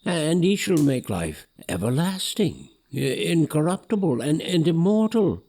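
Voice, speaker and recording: Old holy wise man, gravelly croaky, halting n reverent, swoopy pitch, audio drama. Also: sing-song, mellow, full character voice. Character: Abgerus, venerable old father, devout male Magus.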